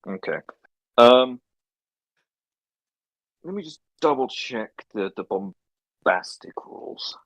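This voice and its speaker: Hesitant Welsh-raised man, lively voice. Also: mid-range voice, takes it slowly, long pauses, dry recording, muffled recording. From Wales. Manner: hesitant, many ums and restarts. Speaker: player. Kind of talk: tabletop game play over voice chat.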